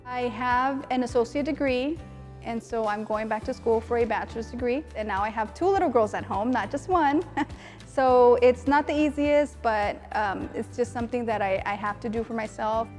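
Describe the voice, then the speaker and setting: A woman's voice: on the high side, normal speed, darkish tone, soft background music. A young adult woman, a nurse, speaking in a heartfelt, personal way in an interview. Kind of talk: interview testimony.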